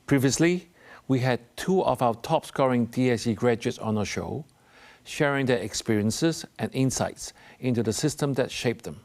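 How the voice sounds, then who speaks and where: A man's voice: mid-range voice, lively intonation, moderate pace, darkish tone. A man hosting a television talk show, presenting and putting questions to guests in a studio interview.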